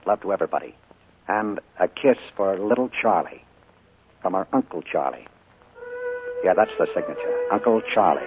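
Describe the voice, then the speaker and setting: A sinister, soft-spoken fugitive. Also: male voice, low voice, animated, moderate pace, pauses often, soft background music. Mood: brisk. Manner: quiet, smooth, with a menacing edge. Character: calm, sinister middle-aged man hiding from pursuers. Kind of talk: 1940s radio acting. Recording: tinny 1940s radio broadcast.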